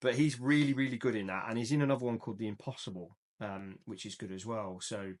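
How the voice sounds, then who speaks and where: A man's voice: fairly low pitch, quick pace. A man co-hosting a casual film chat podcast, talking loosely with fillers and easy laughter. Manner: chatty, laughs easily, trails off with fillers. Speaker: podcast co-host.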